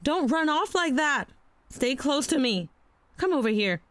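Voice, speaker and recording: A protective young mother in an audio drama. Her voice sits fairly high, affectionate yet weary as she looks after her child. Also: sing-song, a little slow. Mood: alarmed.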